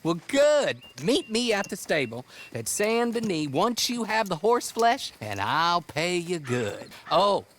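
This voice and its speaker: Western game role: eccentric horse trader, Southern American, high swooping voice, theatrical and chatty. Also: male voice, sing-song, slow, few pauses, a little hiss or hum, full character voice. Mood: cheerful, chatty. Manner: folksy, rambling, jovial, theatrical. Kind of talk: video game cutscene acting.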